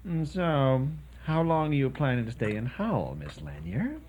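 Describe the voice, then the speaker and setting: A wildly animated, nosy boarder. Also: male voice, medium pitch, a little slow. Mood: prying. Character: nosy, gruffly dismissive male boarder, needling and skeptical. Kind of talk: radio drama acting.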